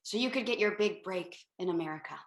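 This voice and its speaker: A woman's voice: fairly low pitch, animated, quick pace, natural voice. A woman playing a brash, self-absorbed company boss in a comedic table read, breezy, bossy and profane. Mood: flippant, patronizing. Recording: roomy home video-call audio.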